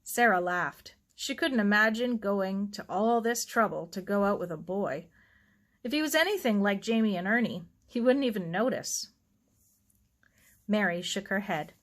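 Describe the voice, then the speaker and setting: A woman's voice: medium pitch, lively intonation, normal speed, a bit bright. A woman narrating a children's novel aloud as a storyteller. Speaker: story reader.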